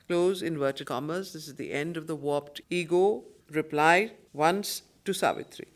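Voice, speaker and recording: A woman with an Indian English accent facilitating a study circle, reciting poetry and commenting on it in a deliberate, emphatic manner. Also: deep voice. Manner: deliberate, emphatic, repeats phrases.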